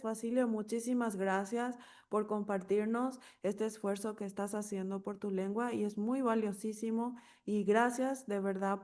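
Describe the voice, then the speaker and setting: A woman moderating a video-call workshop in an even, flat tone. When she reads a message out, she moves along quickly and fluently. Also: medium pitch. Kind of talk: moderating and reading a message aloud.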